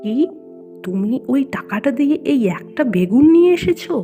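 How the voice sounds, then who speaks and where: A woman's voice: high-pitched, big pitch swings, normal speed, dark tone, faint music behind. A woman voicing a worried poor farmer's wife and mother in a cartoon, plaintive and reproachful. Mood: angry.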